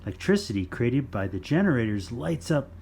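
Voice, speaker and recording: A man reading a children's nonfiction book aloud in simple, deliberate phrases for young listeners. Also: medium pitch, sing-song, creaky, darkish tone, husky. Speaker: children's storytime reader.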